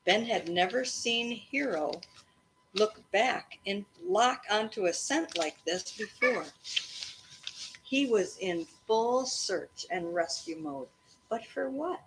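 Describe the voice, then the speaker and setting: Slow-paced woman reading a storybook aloud, noisy background. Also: medium pitch. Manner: animated, engaging a young listener with asides. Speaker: reader reading a children's book aloud to a child. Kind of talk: expressive storybook read-aloud narration.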